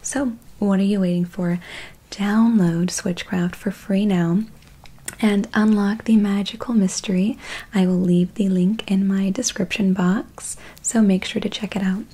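Soft breathy whispering young woman. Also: mid-range voice, fairly even intonation. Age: young adult. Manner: slow, soothing, deliberate. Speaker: ASMR creator reading a sponsor message. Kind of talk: whispered ASMR ad read. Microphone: close microphone, seated at camera.

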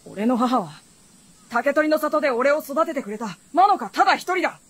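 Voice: high voice, lively intonation, moderate pace, fairly bright tone, dry recording. An actor playing a proud, guarded teenage boy with blunt, curt speech in a period fantasy radio drama. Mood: defiant.

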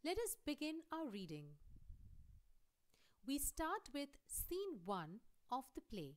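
A woman teacher reading a play aloud for a lesson, deliberately, in short explained phrases. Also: high voice, wildly swooping pitch. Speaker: English teacher.

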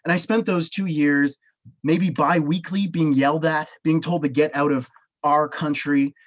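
A man's voice: on the high side, steady tone, measured pace. Earnest Canadian man on a video call. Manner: earnest, emphatic, gestures with both hands. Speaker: panelist, professor. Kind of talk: personal testimony on a video call. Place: small home office with bookshelves. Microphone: webcam on a video call.

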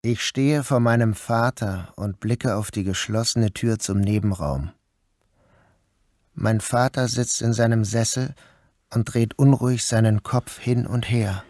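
An actor playing a troubled grown son who narrates his own story in an introspective, measured literary style. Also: on the low side, darkish tone, dry recording, studio quality, lightly acted. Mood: uneasy, observant. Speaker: first-person narrator and protagonist. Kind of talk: literary audio drama narration.

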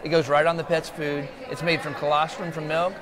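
A middle-aged man with a slightly raised voice chats loosely at a trade show booth, doubling back on his words with "uh" and "um." A lapel mic picks him up clearly while a steady crowd murmurs behind him. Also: fairly high pitch, brisk, noisy background. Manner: relaxed, loose, many fillers and restarts. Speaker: exhibitor guest. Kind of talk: casual interview. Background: crowd chatter.

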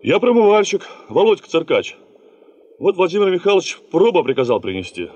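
An actor playing a cheeky former circus performer turned gold-panner in a radio play, casual in manner. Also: male voice, high-pitched, sing-song, brisk, a little mellow, highs cut off. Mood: cheeky, puzzled. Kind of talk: 1970s Soviet radio play acting.

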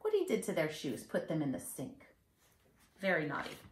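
A woman's voice: low voice, huge pitch swings, normal speed. A woman in her forties speaking as a teacher, explaining things simply and instructively to young children. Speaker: teacher reading to pupils. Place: living room at home. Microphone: camera a few feet away. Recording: roomy home recording, slightly distant camera.

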